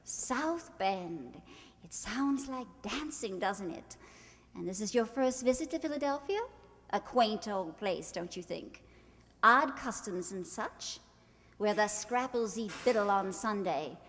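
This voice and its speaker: A woman's voice: mid-range voice, expressive, takes it slowly, a bit bright. A woman acting a haughty, gushing society bride in a radio play, with a grand, affected manner. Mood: gushing, arch. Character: haughty, sharp-tongued society bride playing gushing hostess. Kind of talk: radio play acting of a 1940s screwball comedy. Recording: reverberant hall stage recording.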